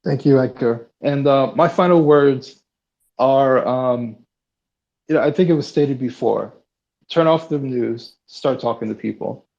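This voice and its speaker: A sick man hosting a live online audio chat, talking in a relaxed, hesitant way with many ums and restarts. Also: mid-range voice, unhurried, vocal fry. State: sick, voice deeper than usual. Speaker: host. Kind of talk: casual closing remarks on a live audio chat. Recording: slightly dull lo-fi online audio.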